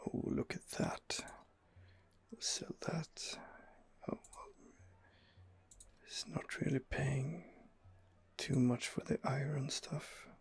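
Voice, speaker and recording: A man narrates his game in an ASMR style, close to a whisper. His voice is heavily creaky and very husky. He muses to himself without hurry, often hesitating between phrases. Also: mid-range voice, steady tone. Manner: hesitant, unhurried musing to himself. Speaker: video game player narrating his playthrough. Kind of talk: ASMR game commentary, murmured in-character musing.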